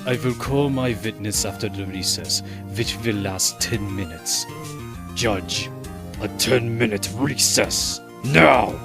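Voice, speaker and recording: A man's voice: fairly low pitch, takes it slowly, vocal fry. An actor playing an arrogant, bossy male prosecutor with a pompous, theatrical and condescending delivery in a fan audio drama. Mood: haughty. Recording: voice over background music.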